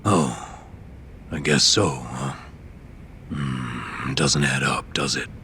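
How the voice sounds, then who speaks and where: An actor playing a hot-tempered, foul-mouthed yakuza gangster in a video game, gruff, sneering and belligerent. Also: male voice, a bass voice, extremely slow, bright, forceful tone, a little hiss or hum, heavily acted. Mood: grudging, cagey. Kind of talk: English video game dub acting.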